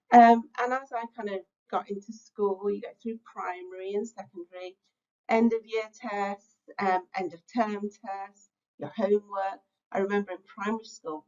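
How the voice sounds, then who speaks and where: A woman's voice: mid-range voice, creaky, lo-fi sound. Middle-aged woman giving a talk. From England. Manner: reflective, conversational, frequent "um" fillers. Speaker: teacher-training speaker. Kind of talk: webinar lecture.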